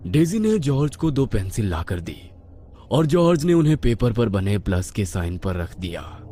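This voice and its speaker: Mellow man narrating suspense with lively pitch. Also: normal speed, a little hiss or hum. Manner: suspenseful, dramatic. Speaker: horror story narrator. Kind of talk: scripted dramatic Hindi story narration.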